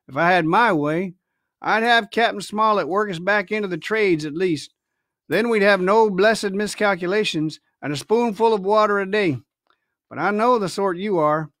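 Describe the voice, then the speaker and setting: A man's voice: high voice, fairly bright tone, dry recording, studio quality. A man reading a storybook, voicing a sly, domineering pirate ship's cook with hectoring, colloquial sailor speech.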